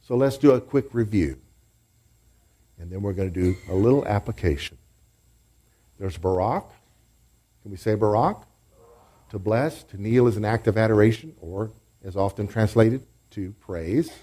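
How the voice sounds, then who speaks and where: A man's voice: lowish voice, moderate pace, long pauses, dark tone, slightly rough. A man preaching and teaching a congregation in a church, leading them in call-and-response and group praise. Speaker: pastor. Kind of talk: sermon teaching.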